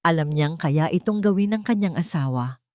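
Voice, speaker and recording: A woman narrating a scripted audio drama with steady, dramatic storytelling. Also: low-pitched, normal speed, no echo, studio quality.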